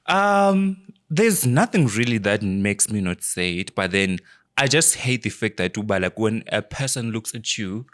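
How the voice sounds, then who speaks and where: A young man from Zimbabwe, a podcast guest, talking in a quiet, shy, soft-spoken way. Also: lowish voice, lively intonation, a little slow. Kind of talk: casual interview conversation.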